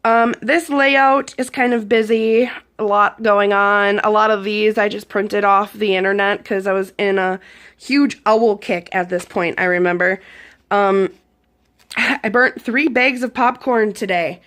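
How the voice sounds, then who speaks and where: A hoarse woman, around her thirties, chatting casually with a lot of ums while showing her journal pages. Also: medium pitch, steady tone, a little slow, a bit bright. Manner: rambling, chatty, with frequent ums. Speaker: YouTube vlogger. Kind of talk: casual journal flip-through.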